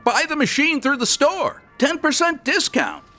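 A man playing a brisk, tight-fisted store boss in a comic radio play, formal and businesslike. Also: very high voice, huge pitch swings, pushed and bright, faint music behind, exaggerated voice.

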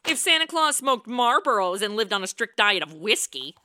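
A woman's voice: fairly high pitch, moderate pace, slight background noise. An actress playing a sarcastic, self-absorbed young woman in a comedic radio play, flippant and slangy. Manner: deadpan quips, slangy, flippant.

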